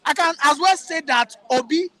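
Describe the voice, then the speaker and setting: Heated nigerian guy arguing politics, high bright voice, keeps repeating himself. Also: normal speed. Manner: combative, mocking, repeats himself.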